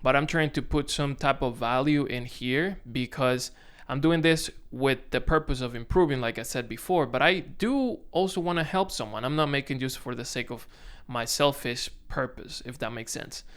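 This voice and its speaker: A man's voice: mid-range voice, fairly bright tone, clear, resonant tone. Resonant Dominican man talking to camera. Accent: Dominican Spanish. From Dominican Republic. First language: Spanish. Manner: self-conscious, halting, frequent restarts. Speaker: content creator. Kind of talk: casual talk to camera. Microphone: camera on tripod.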